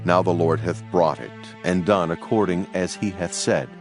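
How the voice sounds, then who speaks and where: A man's voice: low-pitched, normal speed, music in the background, natural voice. A man playing a solemn, authoritative Babylonian captain of the guard in a dramatised scripture reading, speaking in a grave, formal way.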